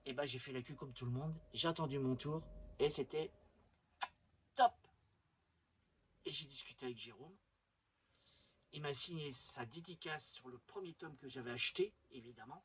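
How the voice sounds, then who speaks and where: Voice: mid-range voice, moderate pace, pauses often, a little hiss or hum. A YouTube host casually showing off a collection in a chatty, rambling, enthusiastic fan's way. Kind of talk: casual collection show-and-tell.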